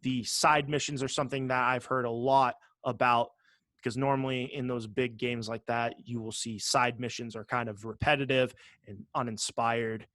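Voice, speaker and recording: A man chats casually as a podcast co-host in a slightly halting, joking way. His voice sits at a middling pitch, and the recording is dry with no room sound. He is sarcastic and loose with swearing. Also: steady tone, fairly bright tone, dry recording. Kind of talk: casual gaming podcast chat.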